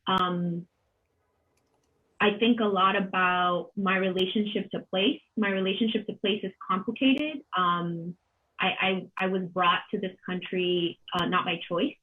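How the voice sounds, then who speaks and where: Halting, thoughtful woman on a tinny video call. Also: mid-range voice, fairly even intonation. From Colombia. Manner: halting, thoughtful, with many pauses and repeated words. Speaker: panel moderator, arts organization director. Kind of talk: reflective, unscripted panel remarks. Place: home kitchen, on a video call. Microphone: computer mic on a video call. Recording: dull, band-limited video call audio.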